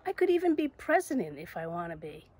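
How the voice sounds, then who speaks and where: Bold little storybook girl played by a woman, big dreamer, emphatic and defiant, lifted pitch with a husky edge. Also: very animated, a little fast, darkish tone. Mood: defiant, proud.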